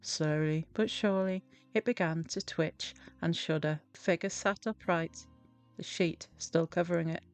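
A woman narrating a children's storybook in a deliberate, expressive way. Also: on the low side, faint music behind. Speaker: storybook reader.

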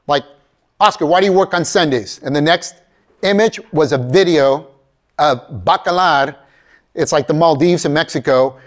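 A middle-aged man giving a motivational career keynote in a college lecture hall, talking straight to the audience as "folks," recorded from a camera out in the audience. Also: a little high. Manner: animated, addresses audience as folks, acts out quoted voices. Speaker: keynote speaker. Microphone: far camera in audience.

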